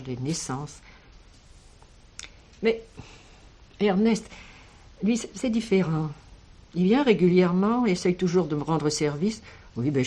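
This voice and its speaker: An actress playing an older village schoolteacher in a French radio drama, kindly and protective, speaking in a measured, expressive way. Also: female voice, sits low. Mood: earnest, reflective.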